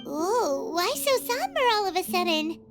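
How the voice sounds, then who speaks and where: An extremely high, squeaky fairy sidekick swoops up and down with childlike earnestness. The little game character fusses and exclaims over clear background music. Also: female voice, very animated, unhurried, heavily acted. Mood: anxious, consoling. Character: tiny childlike companion fairy, cheerful and concerned. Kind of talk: video game voice acting. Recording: music behind.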